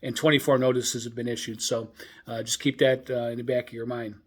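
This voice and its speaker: A man hosting a hobbyist radio news show in an informal, halting, hesitant manner. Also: mid-range voice, flat delivery.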